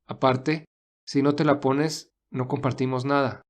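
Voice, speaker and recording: A calm, caring young romantic lead, played by a man in an audio drama. He is soft-spoken and measured, with a protective, reassuring manner. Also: medium pitch, natural voice.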